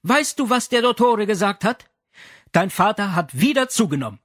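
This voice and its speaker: A man's voice: high voice, big pitch swings, moderate pace, fairly bright tone, no echo, studio quality, voice pushed up, heavily acted. A man voicing an exasperated, fretful Italian mother in an audiobook, indignant and plaintive. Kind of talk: audiobook character acting.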